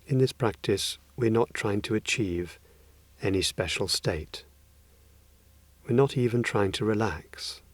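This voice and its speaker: Male meditation guide, low register, gentle delivery with spacious pauses, very dry studio sound. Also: no echo. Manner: gentle, unhurried phrasing with long pauses. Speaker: meditation teacher.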